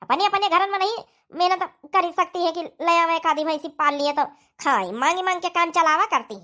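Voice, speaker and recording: A woman's voice: extremely high pitch, normal speed, pushed and bright. A woman voicing a bossy, grumbling older mother-in-law in a village cartoon, with an Awadhi accent. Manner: bossy, complaining. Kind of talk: cartoon comedy dialogue in rural dialect.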